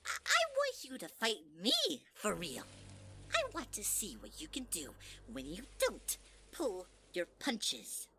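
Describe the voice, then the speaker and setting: A sickly-sweet flower creature purring threats in a bright, high, swooping singsong. He drawls slowly and mocks with false kindness. A faint hiss of noise sits behind him in this fan audio drama. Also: huge pitch swings, heavily acted. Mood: menacing. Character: sugary, sinister male flower creature, manipulative.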